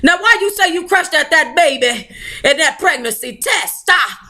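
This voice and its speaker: A woman's voice: high voice, lively intonation, moderate pace, sharp tone. A woman hosting a book club, reading aloud expressively with sassy, informal interjections of her own. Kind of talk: expressive read-aloud with playful ad-lib commentary.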